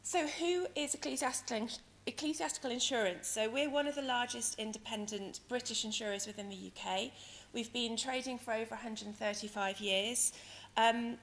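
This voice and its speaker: A woman with a croaky, hoarse voice giving a conference presentation as a company director, explaining things in a hesitant, wordy way. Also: on the high side, normal speed, fairly bright tone. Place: conference venue in London, speaking to an audience. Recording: noisy conference hall ambience.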